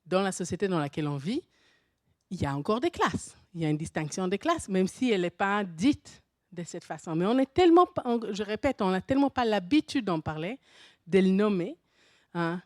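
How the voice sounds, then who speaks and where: A middle-aged woman with a foreign accent in French, not her first language, talks through a conference presentation. Her voice sits at a middle pitch, and she talks in an animated way, thinking aloud with restarts and light laughs. The sound is dry, with no room echo. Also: fairly quick. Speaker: university professor presenting at a teachers' conference.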